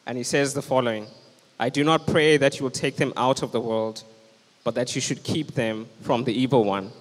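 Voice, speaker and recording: A young man delivers an earnest, urgent sermon into a handheld microphone. His mid-pitched voice rings out with a long hall reverb on the church stage. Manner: earnest, urgent preaching, standing with a handheld mic. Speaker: preacher. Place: church stage with band instruments, indoors.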